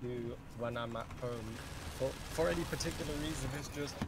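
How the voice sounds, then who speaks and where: A young Englishman talks loosely over a game stream, cursing freely and poking fun at himself. His voice sits at an ordinary middle pitch. Heavy noise hisses loudly under everything he says. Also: a little fast. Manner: swearing, rambling, self-mocking. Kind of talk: casual voice chat while gaming. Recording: loud background noise.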